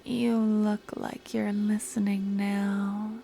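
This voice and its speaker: A woman playing an obsessive young stalker in an ASMR roleplay, sweet yet menacing, speaking softly and often dropping to a whisper. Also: medium pitch, level tone, slow pace, vocal fry. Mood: possessive, adoring.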